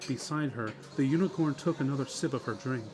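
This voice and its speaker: A man's voice: mid-range voice, a little fast, a little mellow. A man reading scripted story narration as the narrator of a dramatic reading. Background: music.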